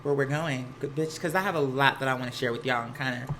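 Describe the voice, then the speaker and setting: A campy American host chats casually to a livestream with plenty of slang and sass. The voice is mid-pitched and notably bright and forceful. It is recorded at home with some household noise behind it. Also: quick pace. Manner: sassy, campy, slang-heavy. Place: at home, laundry running nearby. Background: laundry noise.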